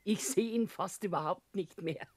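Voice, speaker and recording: An actress playing a homely Bavarian small-town widow who talks plainly in a radio drama. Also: female voice, mid-range voice, moderate pace, a little mellow, natural voice. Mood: plain, wistful.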